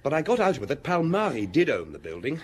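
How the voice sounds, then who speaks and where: A keen junior inspector in a radio play, fairly high in pitch with lively swings. He sounds brisk and deferential, as if reporting to his superior, over background noise. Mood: eager reporting. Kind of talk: radio drama acting.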